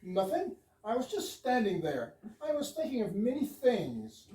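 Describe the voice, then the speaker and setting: A woman's voice: fairly high pitch, wildly swooping pitch, a little slow, mellow. A woman in an amateur stage reading, playing a polite, earnest fire chief in a hesitant, apologetic way. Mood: matter-of-fact. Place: echoey room at a live readers theater. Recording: echoey room, distant microphone.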